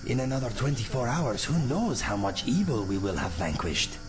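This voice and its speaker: A man plays a wry, loyal rogue from a fantasy game. His mid-pitched voice is very animated, with droll theatrical timing. Music plays clearly behind him. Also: moderate pace, natural voice. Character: witty, roguish male ex-bandit adventurer, wry and loyal. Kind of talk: video game companion dialogue.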